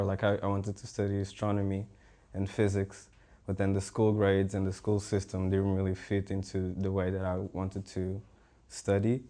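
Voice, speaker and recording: A young man from Portugal, a visual artist speaking as a panel guest in a relaxed, rambling way with many fillers. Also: deep voice. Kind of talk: casual conversational talk.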